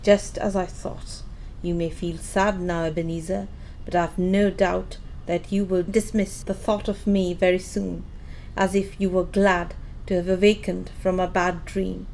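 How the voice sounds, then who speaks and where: A woman acting as a sad, earnest young fiancée in an amateur audio play, gently reproachful and measured. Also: on the low side, level tone, vocal fry, slight background noise, poor audio. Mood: wistful, resigned.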